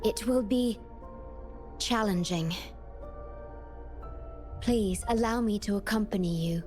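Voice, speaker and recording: Game role: actress as composed, devoted woman guide, gentle formal delivery, middle register, soft music underneath. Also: medium pitch, takes it slowly, lightly acted. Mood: grave, composed. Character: composed, devoted woman guiding a companion on a mission. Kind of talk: video game voice acting.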